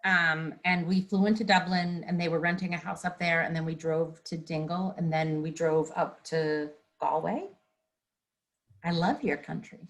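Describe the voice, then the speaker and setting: A woman's voice: lowish voice, normal speed. A woman chatting on a video call, enthusiastic and rambling, with many restarts. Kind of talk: casual video-call chat.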